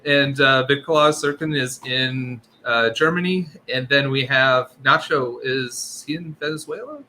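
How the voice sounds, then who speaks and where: An upbeat man hosts a relaxed tech chat in a bright, forward voice, tossing in a friendly "y'all". Faint noise hums behind him. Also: medium pitch, a little slow, rough recording. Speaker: podcast host.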